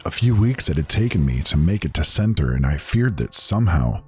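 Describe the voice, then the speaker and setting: A man's voice: very deep voice, very animated, a little fast, darkish tone, breathy edge, voice pushed down, full character voice. A man voicing a proud, earnest mage who recounts his past in solemn, archaic storybook phrasing. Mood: worried. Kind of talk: dramatic fantasy story narration.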